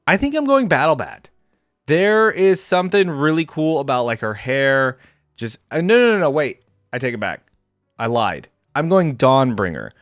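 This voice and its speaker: A man giving casual, unscripted reaction commentary as a gaming fan, slangy and thinking out loud as he second-guesses himself. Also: on the high side, sing-song, moderate pace, dry recording, studio quality, faint music behind.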